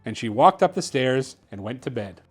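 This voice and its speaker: A man hosting and narrating a children's bedtime story in an expressive, theatrical way. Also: medium pitch, moderate pace, slightly rough, soft background music. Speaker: host reading children's books aloud.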